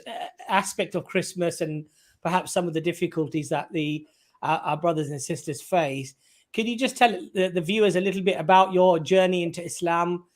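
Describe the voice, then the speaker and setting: Male livestream host, casual and rambling, heavy on fillers, slightly raised pitch.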